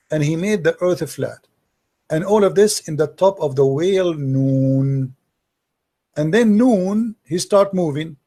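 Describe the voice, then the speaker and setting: A husky, rather high-voiced man speaks as a debate host. His tone is dark and gravelly, and he teaches in a didactic way, posing leading questions as he reads from texts. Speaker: host debating religion with a caller. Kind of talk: live argumentative teaching, reading and commenting on texts.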